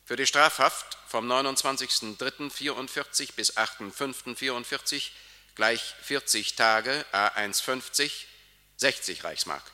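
An actor playing a court official who reads out a bill in a flat, bureaucratic way in a radio drama. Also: male voice, mid-range voice, measured pace, long reverb tail. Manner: flat, bureaucratic reading of figures. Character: dry male court official reading a bill. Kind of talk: 1950s radio drama acting.

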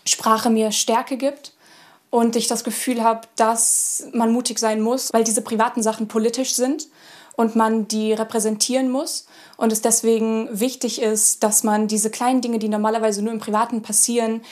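Flat-toned nineteen-year-old woman writer. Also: a little high, normal speed. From Hesse, Germany. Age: late teens. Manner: earnest, reflective. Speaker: young writer. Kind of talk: interview statements.

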